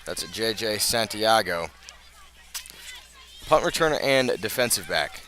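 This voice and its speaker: Male American sports announcer, lower-pitched but very bright and forceful, live radio football play-by-play, outdoors at the stadium. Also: animated, a little hiss or hum. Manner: measured scene-setting, rising to urgent calls.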